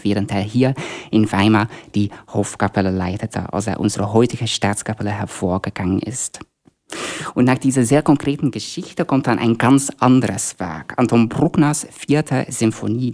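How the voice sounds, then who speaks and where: A man's voice: lowish voice, darkish tone. A man from Belgium who works as a concert dramaturg, introducing orchestral works with vivid, explanatory storytelling. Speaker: concert dramaturg and music educator.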